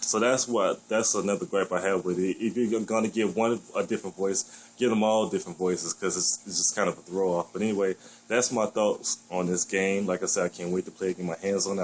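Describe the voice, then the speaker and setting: A man with a deep voice giving his blunt, opinionated take on a new game in a casual vlog, swearing freely as he gripes. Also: fairly even intonation, fairly quick, low-quality recording. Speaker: gaming vlogger.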